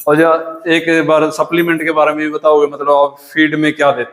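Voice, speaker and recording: A man's voice: fairly high pitch, completely flat delivery, fairly quick, a bit bright, long reverb tail, soft background music. A man with a Haryanvi accent, interviewing casually for a farming video and speaking in a colloquial, conversational way. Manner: colloquial, asks questions and summarises answers. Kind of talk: casual on-site farm interview.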